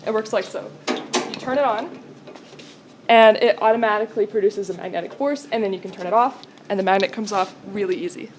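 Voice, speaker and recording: A woman's voice: mid-range voice, brisk, slight background noise. A young woman engineering student cheerfully describing brainstorming and demonstrating a magnet. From United States. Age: young adult. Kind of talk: casual explanation.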